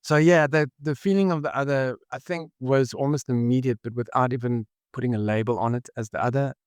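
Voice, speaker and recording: A man's voice: mid-range voice, steady tone, a little fast, darkish tone, clear, resonant tone, no room sound. A South African man with a South African accent, talking reflectively as a podcast guest and often searching for his words. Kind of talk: reflective conversational interview.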